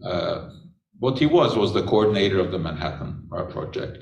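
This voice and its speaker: A man giving an unscripted science talk, unhurried, with frequent pauses and filler words. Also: low voice, animated, vocal fry. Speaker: chemistry lecturer. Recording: echoey room, voice from a distance.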